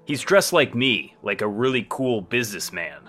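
An actor playing a brooding, mysterious businessman in a comedy radio play, grave and deliberate in clipped phrases. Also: medium pitch, very animated, a bit bright, soft background music. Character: brooding, mysterious stranger, a self-styled cool businessman.

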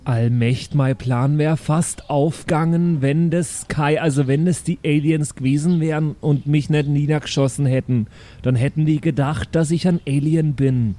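A man playing a cheeky, naive and excitable young schemer who talks in slang in an improvised audio drama. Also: a little high, normal speed, close to an everyday voice. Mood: scheming.

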